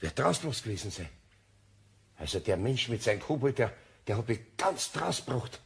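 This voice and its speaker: An actor playing a flustered, sceptical wood-turner in a German radio play, blustering and muttering in a gravelly voice with a Bavarian accent. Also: male voice, medium pitch, sing-song, normal speed. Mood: exasperated, muttering. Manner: blustering, exclaiming, muttering to himself.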